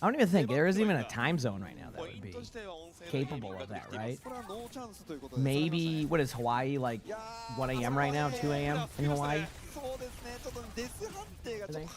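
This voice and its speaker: A man streaming live and chatting casually with his viewers while watching a match, rambling with lots of fillers, his voice going a little hoarse. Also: mid-range voice, lively intonation, moderate pace, pauses often, crowd noise. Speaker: game streamer.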